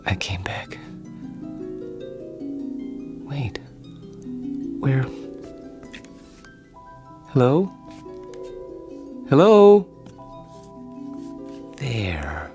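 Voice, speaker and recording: An actor playing a meek, awkward grown son in a radio drama, withdrawn and evasive, with a hesitant, stammering, polite way of talking. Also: male voice, mid-range voice, huge pitch swings, extremely slow, long pauses, over loud music, exaggerated voice. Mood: nervous wonder.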